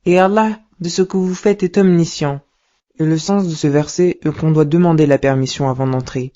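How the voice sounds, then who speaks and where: Cartoon dad, played by a woman: wise, patient, devout, measured teacher's delivery, lowish mellow tone. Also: steady tone, dull audio, natural voice. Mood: calm, instructive. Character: calm, wise, pious father advising his young son. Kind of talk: didactic cartoon dialogue.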